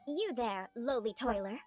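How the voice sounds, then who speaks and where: Haughty young noblewoman for a game: formal, imperious, ornate, highly animated delivery. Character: haughty young noblewoman, prim, vengeful, awkwardly grandiose. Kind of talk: video game voice acting. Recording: game music behind the voice.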